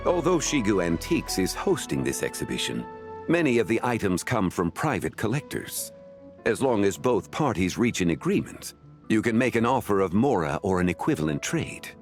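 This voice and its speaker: A man voicing a dignified, learned antiquities connoisseur in an animated game, formal and composed. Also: fairly low pitch, soft background music. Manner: formal, measured, erudite.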